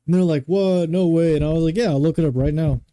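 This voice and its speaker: A young American man with a very dark, soft-edged voice pitched a little high. He co-hosts a podcast, bantering bluntly and arguing casually in a dry, close sound. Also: fast talker, no room sound. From United States. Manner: blunt, argumentative, swearing. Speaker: podcast co-host.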